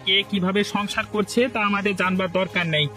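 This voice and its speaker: A man's voice: sits high, level tone, fast talker, pushed and bright, faint music behind. A man playing a bossy, jealous village troublemaker in cartoon dialogue. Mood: scheming, bossy.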